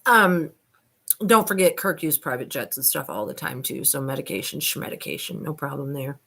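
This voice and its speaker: A woman's voice: deep voice, lively intonation, normal speed, creaky, sharp tone, a little hiss or hum. A woman hosting a video, reading aloud and commenting in a colloquial, digressive, self-deprecating way. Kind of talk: informal dramatic reading with running commentary.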